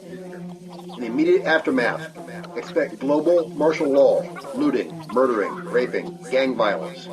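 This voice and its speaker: A man's voice: medium pitch, lively intonation, a little slow, crowd noise, natural voice. A man playing a solemn, emphatic doomsday preacher delivering an urgent, ominous warning, as a call-in pastor in an audio drama. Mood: grave, urgent. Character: grave doomsday preacher warning of mass abduction. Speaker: pastor calling in to a show. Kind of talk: urgent apocalyptic warning monologue.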